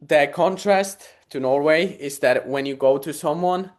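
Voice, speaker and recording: A man's voice: on the high side, measured pace, faint music behind. A young man from Poland, a Polish native speaker, answering interview questions hesitantly with many fillers and easy laughs. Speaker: guest.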